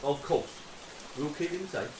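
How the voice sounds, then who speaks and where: An actor playing a respectful, good-humoured army sergeant in a wartime radio drama. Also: male voice, a little high, animated, unhurried. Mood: dutiful. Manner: respectful, obliging. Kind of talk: period war radio drama acting. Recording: echoey, distant, hissy with rain.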